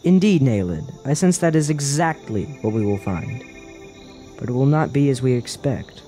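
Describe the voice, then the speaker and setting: Man acting a mellow, earnest fantasy adventurer. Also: medium pitch, lively intonation, normal speed, faint music behind, lightly acted. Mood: calm. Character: thoughtful, questioning young adventurer, earnest and persuasive. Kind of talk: dramatized fantasy audio drama acting.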